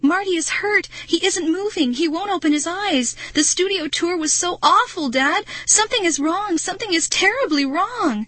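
Woman acting a frantic, high-pitched young girl. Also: lively intonation, normal speed, few pauses, no echo. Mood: pleading. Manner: pleading, shouting, urgent. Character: frantic, terrified young girl, secretly a robot child.

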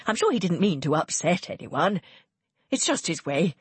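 A woman's voice: lowish voice, very animated, normal speed, no echo, heavily acted. Woman voicing an affable, conciliatory young lord. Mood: soothing, amiable. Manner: smooth-talking, conciliatory.

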